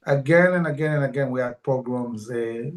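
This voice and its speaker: A man speaks as a defence expert guest, with passion and emphatic repetition. His voice has a medium pitch. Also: moderate pace.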